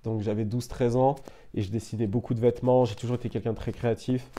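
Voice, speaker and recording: Young French man, interview guest, easygoing and rambling, mellow low-brightness tone. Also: quick pace, a little hiss or hum. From France. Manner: relaxed, meandering, frequent "euh" and "tu vois". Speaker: interview guest, media founder. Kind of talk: casual conversational interview.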